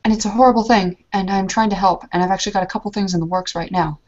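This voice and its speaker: A woman's voice: medium pitch, steady tone, very fast, a little mellow. Anxious rambling woman, lo-fi. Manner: anxious, rambling, many restarts. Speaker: player in a tabletop roleplay. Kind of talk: in-character roleplay conversation. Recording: lo-fi muffled recording.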